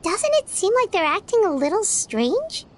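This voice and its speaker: Squeaky childlike game companion, chatty and curious, very high with a swooping voice. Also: female voice, big pitch swings, moderate pace, background noise. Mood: alarmed. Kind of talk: video game voice acting. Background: faint game music.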